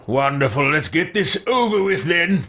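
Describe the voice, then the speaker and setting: A man's voice: fairly high pitch, animated, moderate pace, sharp tone. A man voicing a haughty, impatient recruit in a fantasy roleplay game, curt and drily sarcastic. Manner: dry, curt, sardonic. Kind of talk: scripted roleplay game dialogue.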